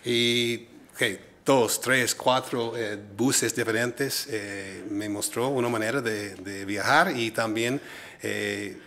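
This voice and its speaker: A man's voice: medium pitch, steady tone, takes it slowly, pushed and bright, a little hiss or hum. A man from Minnesota with an American English accent, middle-aged, speaking Spanish as a second language and answering interview questions hesitantly with many fillers. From United States, Minnesota. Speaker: guest, entrepreneur.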